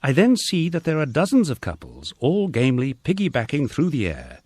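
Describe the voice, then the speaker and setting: A man narrating a first-person memoir in a measured, storytelling way, as an audiobook reader. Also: mid-range voice, huge pitch swings, a little mellow, slightly rough, no room sound.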